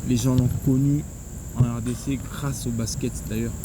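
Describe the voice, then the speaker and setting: A man's voice: mid-range voice, flat delivery, moderate pace. A man in his thirties, an interview guest, answering earnestly and restarting his phrases often. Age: 30s. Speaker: guest, son of honored journalist. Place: outdoor stadium. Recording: loud insect noise outdoors.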